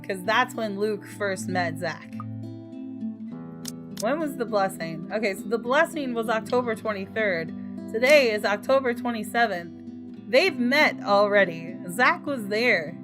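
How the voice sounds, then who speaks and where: A woman's voice: fairly high pitch, a little slow. Woman reading over guitar music. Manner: expressive, reacts aloud to the story. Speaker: gaming YouTuber. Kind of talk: reading a visual novel aloud with commentary.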